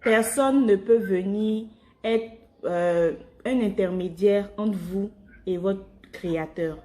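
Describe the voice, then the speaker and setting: A woman's voice: mid-range voice, slow, dark tone. A woman evangelising on a phone call, explaining her faith informally and rephrasing as she goes. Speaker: evangelist calling strangers by phone.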